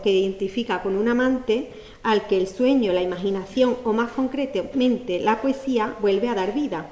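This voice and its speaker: A woman's voice: fairly high pitch, darkish tone. A woman with an Andalusian Spanish accent presenting a poetry book in an explanatory, academic manner, with many fillers. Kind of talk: academic talk at a book launch. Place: lecture hall. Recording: echoey hall, faint noise.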